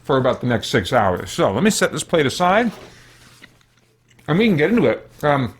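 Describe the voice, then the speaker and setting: A man's voice: on the high side, very animated, normal speed, breathy edge. An American man talks as a gaming streamer in a casual, unscripted way. He rambles and thinks aloud, often stopping to correct his own phrasing. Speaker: live streamer, gaming host. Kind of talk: casual livestream chat.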